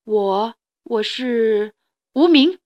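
A big male Tyrannosaurus from a children's storybook, acted out by a woman. He speaks bluntly and haltingly, a hungry, gruff loner whose kindness shows through. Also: a little high, expressive, darkish tone, highs cut off.

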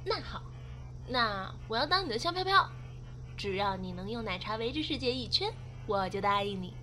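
A woman's voice: a little high, lively intonation, normal speed, sharp tone, slight background noise. An actress playing a feisty, teasing young girlfriend in a Mandarin radio drama. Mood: playful, bossy. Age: young adult. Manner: teasing, mock-threatening. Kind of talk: Mandarin radio drama acting with first-person narration. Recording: music behind the voice.

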